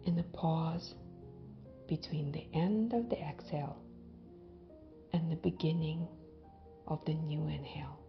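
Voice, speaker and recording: A woman guides a visualization gently and very slowly, leaving long pauses between short phrases. Her voice has a noticeable creak and softens almost to a whisper as phrases end. The recording is slightly dull. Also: low voice. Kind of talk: guided visualization, soothing hypnotic narration. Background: background music.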